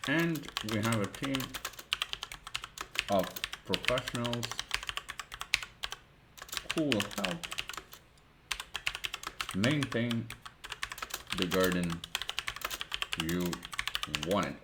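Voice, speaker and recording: Deep-voiced Dutch man talking through a tutorial. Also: lively intonation, heavy background noise. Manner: thinks aloud, slow and hesitant with many fillers. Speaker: web design tutor. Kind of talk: screen-recorded tutorial narration.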